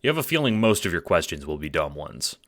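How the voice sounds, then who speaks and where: A man narrates a scripted audio story in a low register. His voice is bright and highly animated, with a dramatic storyteller's delivery. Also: quick pace, no room sound, studio quality. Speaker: narrator and producer.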